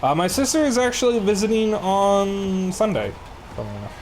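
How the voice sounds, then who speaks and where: A man with a Chicago accent, streaming a video game and chatting casually with viewers in a relaxed, rambling way. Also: high-pitched, a little fast, noisy background. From Chicago area, USA. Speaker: live streamer. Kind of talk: casual chat while playing a video game.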